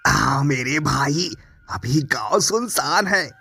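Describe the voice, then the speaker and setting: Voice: medium pitch, lively intonation, slow pace, vocal fry, bright, forceful tone, husky, pitched below the performer's own voice, heavily acted. A performer voicing evil ghost brothers in a cartoon, plotting and gloating with menacing laughs. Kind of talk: cartoon voice acting.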